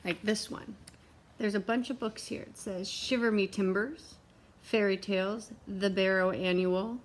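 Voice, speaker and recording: A woman reading a picture book aloud to young children in an expressive way, with simple asides to her listeners. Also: medium pitch. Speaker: read-aloud teacher and storyteller.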